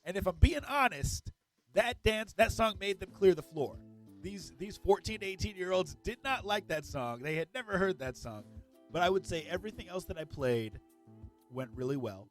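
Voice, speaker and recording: A man's voice: high voice, animated, normal speed, faint music behind. A man co-hosting a gaming podcast, a comedian telling stories in an enthusiastic, joking way. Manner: enthusiastic storyteller, upbeat and joking. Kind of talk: casual podcast chat.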